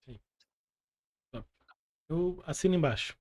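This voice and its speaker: Brazilian man hosting, halting delivery. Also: on the high side, animated. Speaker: podcast host, interviewer. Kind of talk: casual interview questions.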